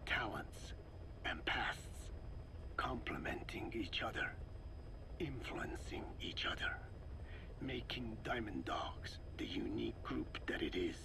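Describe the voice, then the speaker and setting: A man playing an aged, wise, grave scientist in a video game, explaining formally in a breathy, gravelly rasp. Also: lowish voice, animated, in a whisper, heavily acted. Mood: grave, musing. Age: older. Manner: slow, deliberate, formal, didactic.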